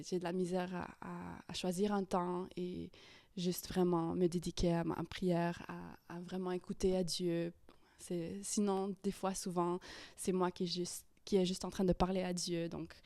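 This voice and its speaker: A young woman whose first tongue is guides a prayer and reads Scripture aloud, pausing often and slipping into whispers. Her voice is mellow and warm, and she takes her time. The sound is dry and close, with no room echo. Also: fairly low pitch. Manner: hesitant, many fillers and restarts, often drops to a whisper. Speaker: YouTuber leading prayer. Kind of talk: casual guided prayer and Bible reading.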